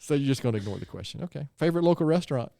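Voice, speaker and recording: Gravelly-voiced host, chatty. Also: male voice, a little high, animated, rapid-fire, dark tone. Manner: friendly, chatty, rambling asides. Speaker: podcast host. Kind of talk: casual interview chat.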